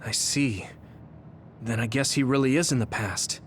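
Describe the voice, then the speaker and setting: An actor playing an earnest, thoughtful young squad member in an anime-style video game. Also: male voice, mid-range voice, a little slow, a little hiss or hum, natural voice.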